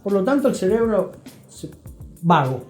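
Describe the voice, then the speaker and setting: A man's voice: high-pitched, big pitch swings, normal speed, darkish tone. An Argentine man speaking as an interview guest, telling and explaining things reflectively, with emphasis and short check-in questions. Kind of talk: reflective conversational storytelling and explanation. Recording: roomy echo, faint music.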